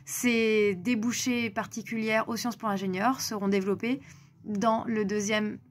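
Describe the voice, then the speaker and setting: A woman's voice: medium pitch, fairly even intonation, measured pace. A woman teacher explaining a school's curriculum to prospective students, with some hesitation.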